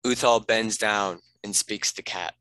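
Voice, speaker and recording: A man reads scripted stage directions as narrator. His voice is lowish with a bright, forceful edge. The sound is very dry, without echo. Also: steady tone, measured pace.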